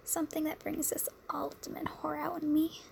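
A woman delivering an intimate adult roleplay monologue straight to the listener, drifting between breathy whispers and soft, sultry murmurs. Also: sits high, completely flat delivery, moderate pace, a little hiss or hum.

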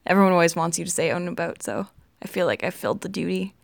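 A woman's voice: fairly low pitch, expressive, fast talker, gravelly. A woman with a Canadian accent, chatting casually and laughing easily as she hosts the video. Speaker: host of an accent tag video. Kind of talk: casual chat.

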